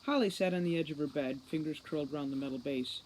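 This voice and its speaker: A woman narrating a novel aloud as a storybook reader, in an expressive style. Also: deep voice, fast, slight background noise, poor audio. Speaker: audiobook reader.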